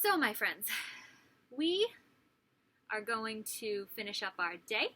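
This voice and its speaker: A woman teacher reading a story aloud to young children, animated and asking them questions. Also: fairly high pitch, slow. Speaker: pre-kindergarten teacher.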